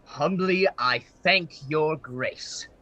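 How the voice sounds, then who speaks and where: An actor playing an outraged, grieving elderly Venetian senator in a Shakespearean radio play, with emphatic, halting declamation. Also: mid-range voice, sharp tone, dull audio. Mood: accusing. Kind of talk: Shakespearean verse acting for radio.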